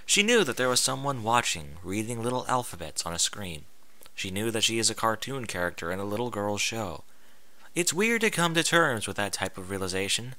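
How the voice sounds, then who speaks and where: A man's voice: on the low side, big pitch swings, fairly quick, pushed and bright, dry recording. A man reading fan fiction aloud in a theatrical, emphatic storybook style with a comic edge. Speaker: amateur fan fiction reader.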